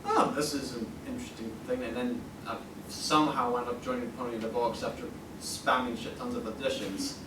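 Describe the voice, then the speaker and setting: A man's voice: mid-range voice, fairly even intonation, brisk, hardly any pauses. A young British man chatting casually on a panel, rambling, jokey and sweary. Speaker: convention panelist, amateur audio drama voice actor. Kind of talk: casual unscripted panel chat. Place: convention panel room, indoors. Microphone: distant camera microphone. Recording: roomy, noisy, distant convention room recording.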